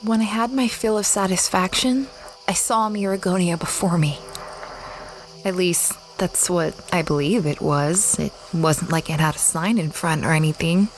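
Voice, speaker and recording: A woman's voice: lowish voice, normal speed, slight background noise, lightly acted, low-quality recording. A woman playing a dreamy space explorer who recounts her adventures in a reflective, conversational way, as audio drama narration. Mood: awed, reflective.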